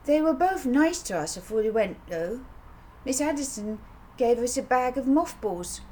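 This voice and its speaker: Earnest little girl, played by a woman, raised pitch, eager run-on storybook telling, faint noise. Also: animated, normal speed, mellow. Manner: childish, breathless run-on telling. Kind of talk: children's audiobook character voice. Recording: audible background noise.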